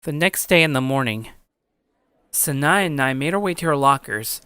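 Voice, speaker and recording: A man playing a troubled, earnest high school boy who narrates his own story in a sincere, brooding way. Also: lively intonation, moderate pace, pushed and bright, smooth, dry recording, studio quality. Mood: introspective. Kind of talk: scripted dramatic narration and character dialogue.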